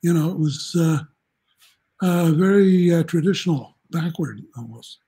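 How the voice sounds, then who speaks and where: A man's voice: fairly high pitch, animated, measured pace, warm tone, slightly rough. A man in his late seventies from New Jersey, telling stories as a guest over a video call, rambling and chuckling with frequent restarts. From New Jersey, United States. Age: 70s. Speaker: guest, journalism professor. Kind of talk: casual interview storytelling. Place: home room, indoors.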